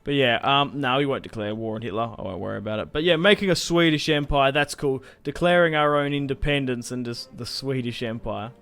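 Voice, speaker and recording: Chatty gamer talking over gameplay. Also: male voice, mid-range voice, brisk, gravelly, hardly any pauses, fairly bright tone, clean tone. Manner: chatty, rambling, swears casually, laughs at own moves. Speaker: gaming YouTuber. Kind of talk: casual let's-play commentary.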